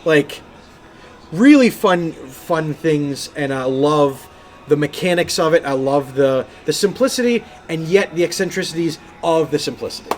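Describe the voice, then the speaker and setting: A man's voice: a little high, expressive, unhurried. A man co-hosting a gaming podcast, chatting casually and enthusiastically. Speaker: podcast co-host and game streamer.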